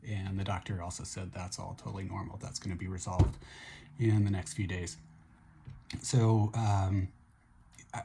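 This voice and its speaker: A middle-aged man with a hoarse voice, chatting casually in a video diary, upbeat and relaxed with many fillers and restarts. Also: low-pitched, a little hiss or hum. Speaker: vlogger recovering from surgery.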